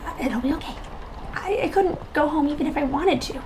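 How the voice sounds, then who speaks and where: A woman playing an anxious, grieving young girl in an audio drama, speaking in a halting, emotional way. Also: sits high, lightly acted. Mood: anxious, upset. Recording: clearly noisy, ambient sound behind.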